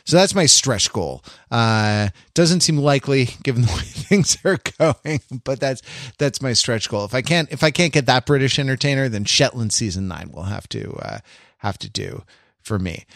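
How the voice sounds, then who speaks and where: A man's voice: medium pitch, expressive, moderate pace, fairly bright tone, no room sound, studio quality. A man hosting a podcast in a casual, conversational style, with a wry and slightly hesitant manner. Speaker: podcast host.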